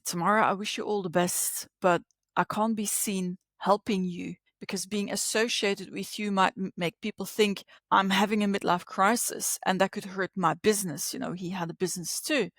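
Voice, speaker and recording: A woman in her forties from Belgium, a psychologist and coach, speaking as a podcast guest in a reflective, unhurried way with frequent ums and restarts. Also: medium pitch, steady tone, a bit bright, no echo, studio quality. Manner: thoughtful, with many ums and restarts. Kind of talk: conversational interview.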